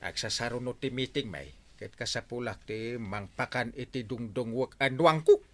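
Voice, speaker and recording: A man's voice: mid-range voice, normal speed, close to an everyday voice. A man playing a proud, playful village captain who dotes on his buffalo, in an audio drama with an Ilocano accent. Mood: playful, boastful.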